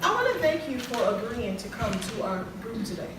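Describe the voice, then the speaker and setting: A woman acting as an encouraging self-help counselor in a stage play, speaking in a measured, preachy way to her group. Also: a little high, very animated. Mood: warm, welcoming. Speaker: counselor and author leading a support group. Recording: echoey hall, far mic, clear background noise.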